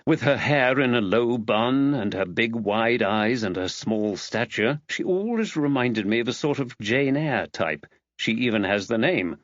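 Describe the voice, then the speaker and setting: A man reading a novel aloud as an audiobook narrator, measured and expressive. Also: medium pitch, dry recording, muffled recording. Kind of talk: scripted literary narration.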